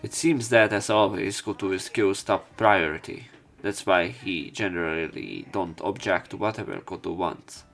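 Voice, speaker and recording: A man with a fairly low voice narrates a visual novel let's play, switching between reading the story and chatting casually about it. Soft music plays underneath him. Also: normal speed, creaky.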